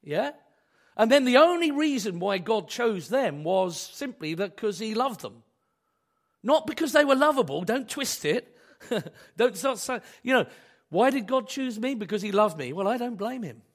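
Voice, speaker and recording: A man delivers a sermon in a hoarse voice, worn down by a cold. He talks high and with lively rises and falls, and keeps the tone conversational with rhetorical questions thrown at his listeners. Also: moderate pace. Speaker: pastor.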